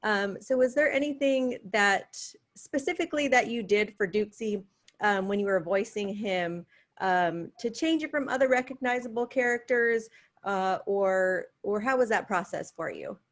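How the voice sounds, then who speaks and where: A woman's voice: medium pitch, moderate pace. A woman interviewer, a mother, asking a question in a friendly way with "um" fillers. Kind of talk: press junket interview.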